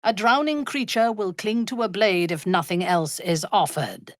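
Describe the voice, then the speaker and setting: A woman playing a haughty, menacing female warrior and former cultist in a video game, speaking in formal, imperious, disdainful phrases with a husky, breathy voice. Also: mid-range voice, normal speed, no room sound, clean studio sound, lightly acted. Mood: scornful, measured. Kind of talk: video game character voice lines.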